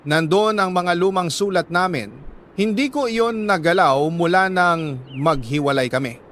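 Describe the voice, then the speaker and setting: A man with a Filipino accent voicing a heartbroken, devout young woman's letter as earnest, dramatic radio storytelling with deliberate pauses. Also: sits high, a bit bright. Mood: tender, reflective. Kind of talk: dramatic first-person scripted narration.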